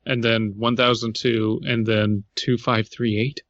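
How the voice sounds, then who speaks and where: A man's voice: mid-range voice, little pitch movement, measured pace, fairly bright tone, no room sound, muffled recording. A man co-hosting a podcast, reacting and reading things out in casual group chat. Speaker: podcast host.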